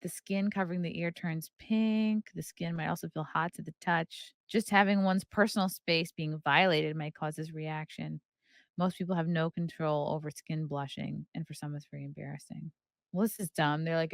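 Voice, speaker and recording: A woman's voice: on the low side, no room sound. A woman hosting a podcast, chatting casually and reading aloud from a book with playful, irreverent, flippant asides. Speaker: podcast host.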